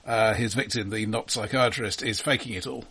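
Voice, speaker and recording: Husky-voiced man giving dry film opinions. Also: lowish voice, steady tone, moderate pace, pushed and bright. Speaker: podcast co-host. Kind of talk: casual film discussion.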